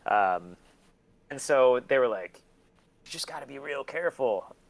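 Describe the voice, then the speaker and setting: A man's voice: mid-range voice, expressive, measured pace, rough recording. A man being interviewed, telling stories in casual chat with blunt, sweary talk and an easy laugh. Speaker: interview guest, collector and documentary maker.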